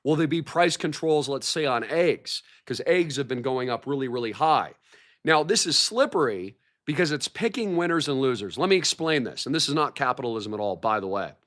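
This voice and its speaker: A man hosting a livestream, his voice hoarse from a recent cold, talking in an excited, repetitive way about market news. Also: medium pitch, fairly quick. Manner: hyped, repeats words like massive and shocking. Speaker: livestream host.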